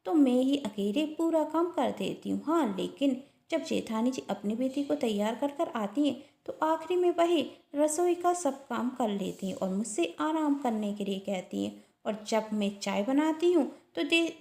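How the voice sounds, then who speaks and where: A dutiful but shrewd younger daughter-in-law in a family story, played by a woman narrator. She speaks politely and earnestly in a fairly high voice with some creak, recorded with room echo. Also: darkish tone. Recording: roomy echo.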